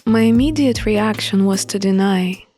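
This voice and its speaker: A woman's voice: medium pitch, moderate pace, mellow. A woman reading first-person story narration for a visual novel playthrough. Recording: music under the voice.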